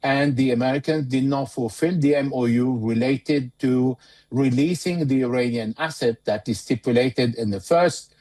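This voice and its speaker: Male analyst being interviewed, mid pitch, measured, keeps pausing mid phrase. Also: darkish tone, rough recording. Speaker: war correspondent and analyst. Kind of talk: interview commentary.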